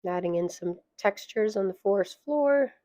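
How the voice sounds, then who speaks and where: A woman narrating a watercolor painting tutorial, hoarse and losing her voice, soft-spoken and chatty. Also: mid-range voice, expressive, moderate pace, no room sound. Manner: soft-spoken, chatty, with fillers and light laughs. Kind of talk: relaxed voice-over tutorial.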